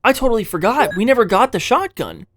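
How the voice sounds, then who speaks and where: A man's voice: high-pitched, very animated, brisk, fairly bright tone, no echo. A man narrating a video game playthrough in a dramatized, scripted way, with casual, profane, jokey asides to the viewer. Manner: theatrical, self-interrupting asides to the viewer.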